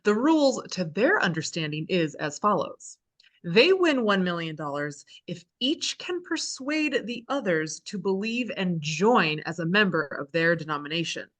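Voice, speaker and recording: Chipper, animated, sardonic female reality host, acted by a woman. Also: lowish voice, heavily acted. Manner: presenter-like, with sarcastic asides. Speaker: actress in a script table read. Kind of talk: scripted reality show hosting at a table read.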